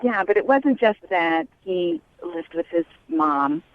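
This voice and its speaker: A woman's voice: mid-range voice, big pitch swings, takes it slowly, vocal fry, no echo. A young woman with an American accent, a guest talking casually and a bit hesitantly over a phone call. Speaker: called guest on a radio show.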